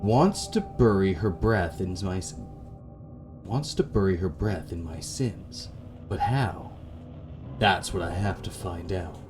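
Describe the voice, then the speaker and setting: Guy voicing a haunted gothic horror game protagonist, guilty and somber, low hushed voice, music behind. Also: animated, slow. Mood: brooding. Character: brooding, guilt-ridden man haunted by lost love. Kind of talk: gothic horror visual novel reading. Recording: background music under the voice.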